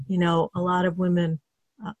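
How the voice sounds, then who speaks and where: A middle-aged woman leading a dating teleclass on a video call in relaxed, encouraging talk full of fillers and restarts. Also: fairly low pitch, normal speed, no room sound. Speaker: dating coach co-hosting a teleclass. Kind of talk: conversational teaching on a video call.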